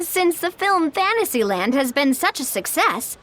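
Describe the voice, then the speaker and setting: A woman's voice: high voice, very animated, a little slow, very noisy. A woman voicing a flamboyant, playful and grandiose showrunner in an anime game, speaking with theatrical flourishes over music. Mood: upbeat. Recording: music behind the voice.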